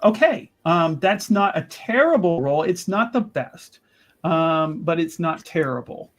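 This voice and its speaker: A man's voice: fairly high pitch, animated, measured pace. A man playing a tabletop roleplaying game, improvising casually with wordy, playfully formal turns of phrase.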